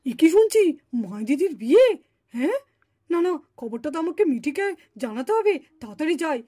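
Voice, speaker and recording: Casting: woman to play excitable gossipy little boy, puppet cartoon; extremely high, lively swooping delivery. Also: fairly quick. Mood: excited. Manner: excitable, eager. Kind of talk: dramatized Bengali puppet-show dialogue.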